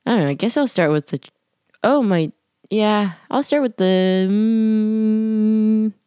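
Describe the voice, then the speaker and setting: A woman's voice: medium pitch, takes it slowly, mellow, smooth, no room sound, clean studio sound. A young woman recording a casual voice diary at home late at night, rambling with lots of fillers, her voice a little nasal. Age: young adult. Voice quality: slightly nasal. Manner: rambling, many "like" fillers, scatterbrained. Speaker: podcast diarist.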